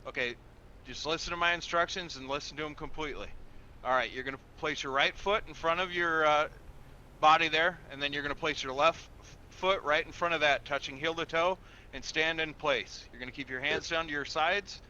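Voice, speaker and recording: Bright-voiced patient traffic cop, played by a man. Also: on the high side, steady tone, fairly quick, dull audio. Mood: instructing. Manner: procedural, instructive, polite but firm. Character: patient, methodical male traffic police officer. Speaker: roleplayer acting as a police officer. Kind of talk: improvised game roleplay.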